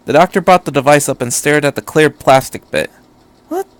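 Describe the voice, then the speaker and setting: A man's voice: fairly high pitch, fairly quick, dry recording. A man reading a story aloud as an amateur narrator, in scripted prose. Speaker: amateur fan fiction reader.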